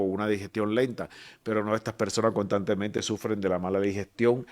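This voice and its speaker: A Cuban man talks through a medical explanation in a lowish voice. He is patient and methodical. He fills pauses with frequent hesitations and often restarts his sentences. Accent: Cuban Spanish. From Cuba. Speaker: physician guest. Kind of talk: explanatory medical podcast talk.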